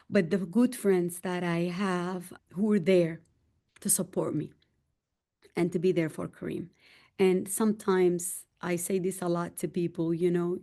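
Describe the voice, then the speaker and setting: A middle-aged woman with a Sudanese accent tells her own story as a guest on a podcast. Her voice sits low and mellow, soft at the edges. She presses hard on the words that matter to her, climbing higher when she stresses a point. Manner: emphatic and heartfelt, rising in pitch on key points. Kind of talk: candid conversational storytelling.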